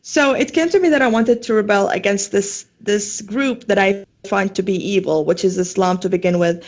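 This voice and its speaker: A woman's voice: medium pitch, moderate pace, muffled recording. A young woman from Syria with a Syrian Arabic accent, talking casually as an interview guest and often filling with "like" and "um". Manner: chatty, lots of "like" and "um".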